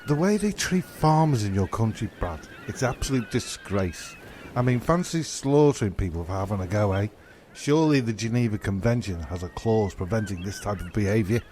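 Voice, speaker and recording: A man's voice: on the low side, lively intonation, normal speed, darkish tone, a little hiss or hum, lightly acted. A man playing an indignant, sardonic mate in an audio comedy, wordy and exasperated as he corrects others. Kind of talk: comedic audio drama dialogue.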